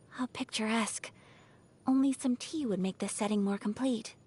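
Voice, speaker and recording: A high, refined young noblewoman. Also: measured pace, darkish tone, husky. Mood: serene. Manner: poised, formal, delicate phrasing. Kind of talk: video game character voice lines.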